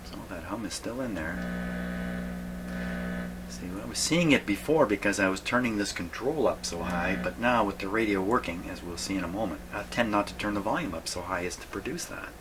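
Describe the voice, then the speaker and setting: Low-voiced man musing over loud background noise. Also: brisk. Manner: musing, talks to himself in short fragments. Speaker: vintage radio repair hobbyist and video host. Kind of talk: casual hands-on demonstration, thinking aloud. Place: indoor radio workshop.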